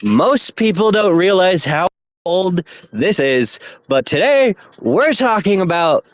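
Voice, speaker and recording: A man's voice: high voice, sing-song, takes it slowly, no echo. A young man casually hosting a podcast, rambling with lots of "anyways" and laughter. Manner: rambling, many fillers and laughs. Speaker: podcast host.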